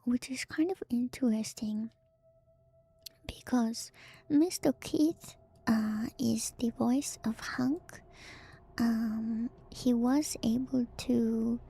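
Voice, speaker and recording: A woman giving whispered ASMR commentary as a streamer, in a soft, breathy, hushed and musing way, close to the microphone. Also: fairly high pitch. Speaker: streamer, host of a lore breakdown.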